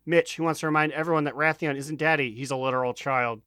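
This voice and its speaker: High-pitched, clear-voiced male podcast host. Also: steady tone, fast, bright, forceful tone, dry recording, clean studio sound. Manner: jokey, sweary. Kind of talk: casual chat.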